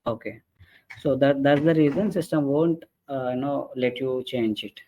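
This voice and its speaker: A man presenting an explanatory software training webinar in a halting way, often checking in with short tag questions. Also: medium pitch, slight background noise. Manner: halting, repeatedly checks with "right?" and "okay". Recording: dull lo-fi webinar audio.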